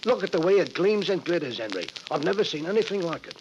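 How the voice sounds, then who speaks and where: A man's voice: fairly high pitch, sing-song, quick pace. An actor playing a blunt working man, in a 1940s radio drama. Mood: curious. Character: cheery British working man, companion. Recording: dull hissy old radio transcription.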